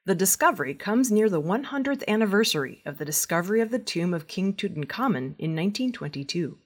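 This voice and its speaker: A woman's voice: mid-range voice, very animated, fast. A woman reading scripted news as a newsreader. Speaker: news reader.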